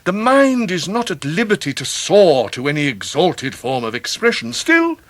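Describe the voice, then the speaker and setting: An actor playing a pompous middle-aged Victorian clerk with flowery, grandiloquent English speech, in a radio drama. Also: male voice, high voice, huge pitch swings, moderate pace, dry recording, heavily acted. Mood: grandly genial. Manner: grandiloquent, theatrical, orotund.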